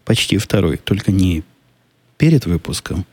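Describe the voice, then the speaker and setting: A man with a deep voice hosting a solo podcast, answering listener questions in a casual, musing way, often skeptical and restarting his sentences. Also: big pitch swings, moderate pace, warm tone, breathy edge, no echo.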